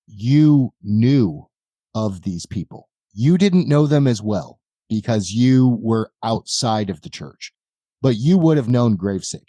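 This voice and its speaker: A man narrating a tabletop roleplaying game as game master, in a deliberate, measured way. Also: mid-range voice, lively intonation, warm tone, no echo.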